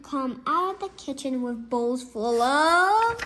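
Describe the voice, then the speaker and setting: A girl of about eight reads a storybook aloud, carefully and a little haltingly. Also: high-pitched, animated, a bit bright, slight background noise. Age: child, about 8. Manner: halting, careful reading with slips.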